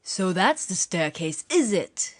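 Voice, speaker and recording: A woman playing a bold young prince in a fairy-tale audiobook, speaking with measured confidence. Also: fairly low pitch, sing-song, no room sound, clean studio sound, exaggerated voice. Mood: resolved.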